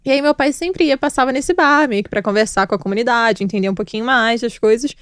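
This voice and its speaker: A woman's voice: a little high, fast talker, fairly bright tone, dry recording, clean studio sound. A young woman who grew up in the former Soviet Union, a podcast guest recounting her family's past candidly and in detail. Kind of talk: casual conversational interview.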